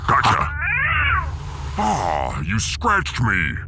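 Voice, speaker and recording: A man voicing a huge, solemn barbarian with a soft spot for his cat, speaking formally in a sci-fi audio drama. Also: on the low side, wildly swooping pitch, gravelly, very noisy, voice pushed down, exaggerated voice. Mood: earnest. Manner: slow, solemn, formal wording.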